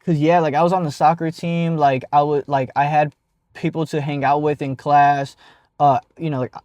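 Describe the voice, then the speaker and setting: A man's voice: on the high side, flat delivery, normal speed, darkish tone, no echo. A young man with a stutter telling a casual personal story as a vlogger, with many fillers and restarts.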